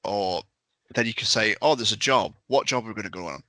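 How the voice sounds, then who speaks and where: A man's voice: lowish voice, a little fast, sharp tone, no room sound. A man on a podcast panel chatting enthusiastically in a casual review discussion.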